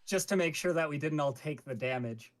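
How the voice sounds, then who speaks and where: Higher-voiced man in joking game chat. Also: brisk. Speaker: tabletop card game player. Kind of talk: casual, joking table chat.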